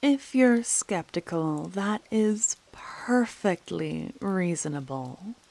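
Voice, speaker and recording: A woman voicing a flirtatious, mysterious female fortune teller in an ASMR roleplay, teasing in a soft whisper. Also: fairly low pitch, animated, slow pace, low-quality recording.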